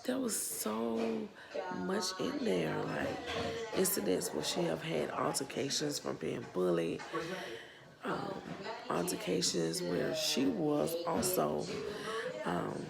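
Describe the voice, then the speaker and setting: A woman in her thirties with a Southern US accent, speaking earnestly and haltingly as a parent who runs a nonprofit, her voice a bit worn. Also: low-pitched, lively intonation, vocal fry, loud music behind the voice. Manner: slow, earnest, halting with pauses and restarts. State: losing her voice. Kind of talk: personal vlog testimony.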